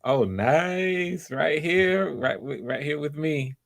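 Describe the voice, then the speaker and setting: A man's voice: on the high side, expressive, slow pace, vocal fry, a bit bright. A man hosting auditions in a casual, encouraging way, often repeating his words. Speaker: audition host.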